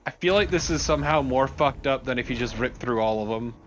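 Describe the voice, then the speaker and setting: A man's voice: medium pitch, fairly quick, a little hiss or hum. A man co-commentating on a let's play in a casual, wry way, with game sound behind him.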